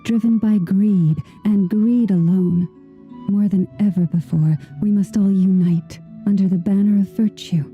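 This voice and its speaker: A woman voicing a serene, devout moon chanter in a video game, speaking softly in formal, poetic, measured phrases. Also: mid-range voice, fairly even intonation, phone-quality sound, natural voice. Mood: solemn, devout. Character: serene, devout young female moon chanter, solemn and kindly. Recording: music playing behind the voice.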